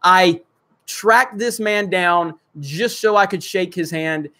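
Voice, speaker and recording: Guy with a high voice doing a livestream, lots of ums, reading out chat comments. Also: slow, bright, forceful tone. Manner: rambling, many fillers, reads viewer comments aloud. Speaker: livestream host. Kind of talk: casual livestream chat reading super chats.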